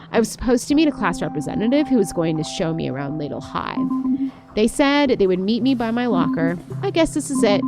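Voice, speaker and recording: A woman streaming a game, reading a visual novel aloud and reacting to it in a playful, expressive way. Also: mid-range voice.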